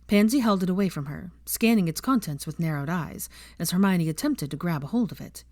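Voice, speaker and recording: Lowish, animated woman narrating a story. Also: fairly quick, dry recording, clean studio sound. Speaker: fan fiction audiobook narrator.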